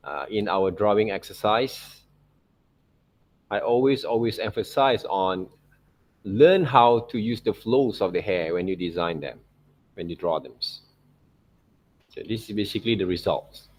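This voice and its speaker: Man, lowish pitch, online teacher, informal tutorial narration, non-native loose phrasing, repeated check-in tags. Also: a little slow, long pauses, a little mellow. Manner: repeats check words like okay and right.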